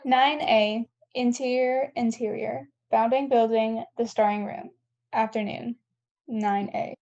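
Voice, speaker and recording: Mellow, high-voiced woman narrating a script. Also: steady tone, slow pace. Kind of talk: scripted narration of stage directions at a table read. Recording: dull, lo-fi recording.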